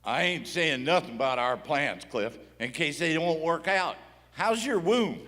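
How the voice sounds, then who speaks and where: A man's voice: on the high side, expressive, unhurried, sharp tone. A man playing a plain-spoken, wary cowboy in a live Western radio play, with folksy talk in an echoey hall. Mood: guarded. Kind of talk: live Old West radio play acting.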